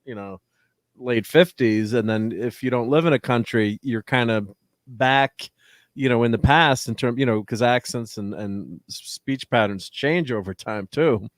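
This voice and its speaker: A man's voice: medium pitch, unhurried, dry recording, clean studio sound. A man from the New area, co-hosting a casual livestream, rambling and chuckling with lots of "you know"s. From New York area. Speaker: co-host.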